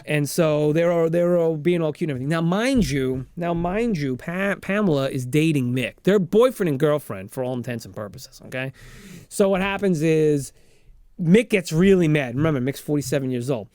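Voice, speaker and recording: A man's voice: on the high side, animated, moderate pace, darkish tone, no echo. A man hosting a podcast, giving an improvised, casual film recap and often repeating his phrases. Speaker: podcast host.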